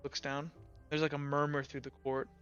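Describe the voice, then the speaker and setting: A man's voice: a little high, completely flat delivery, normal speed, a bit bright, clean tone, dull audio, faint music behind. A man narrating a tabletop roleplaying game as game master.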